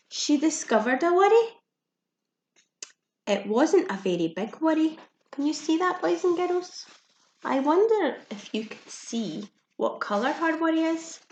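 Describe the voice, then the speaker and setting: A woman's voice: sits high, measured pace, pauses often, highs cut off. A woman reading a children's storybook aloud like a teacher, expressively and simply, with questions and asides to her young listeners. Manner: expressive, simple child-directed speech with audible gasps and questions. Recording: echoey room sound.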